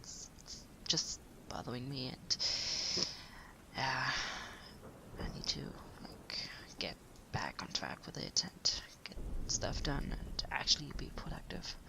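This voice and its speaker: Caring late-night writer partner played by a woman, soft and breathy with some creak, slow hesitant murmur, sleep-aid audio roleplay. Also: very deep voice, animated, heavily acted, poor audio. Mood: quietly musing. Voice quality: breathy, half-whispered. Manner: gentle, hesitant, unhurried murmuring.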